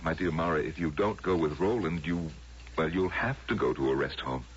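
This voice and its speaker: An actor playing a calmly insistent, condescending husband in a vintage radio drama, with measured, hesitant coaxing. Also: male voice, very deep voice, husky. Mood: insistent, patronizing. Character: patronizing husband Willis, calmly insistent, soothing. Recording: dull vintage radio recording, faint hum.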